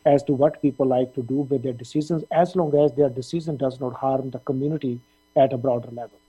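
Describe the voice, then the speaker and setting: A middle-aged man from Punjab, India, a dean giving measured interview answers with frequent fillers. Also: mid-range voice, fairly even intonation, warm tone, husky, poor audio. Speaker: dean of a veterinary faculty.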